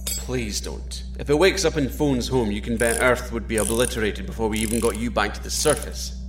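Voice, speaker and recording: Guy playing a scottish astronaut captain, wry and reassuring, normal pitch, music behind, sci-fi drama. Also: brisk, lightly acted. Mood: wry, relaxed. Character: easygoing, steady Scottish male astronaut captain. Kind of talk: sci-fi audio drama dialogue.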